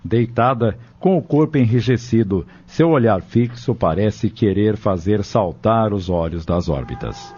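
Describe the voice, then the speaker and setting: A man's voice: lowish voice, animated, unhurried, few pauses, dark tone, muffled recording, a little hiss or hum. A man reading scripted narration for an audio drama.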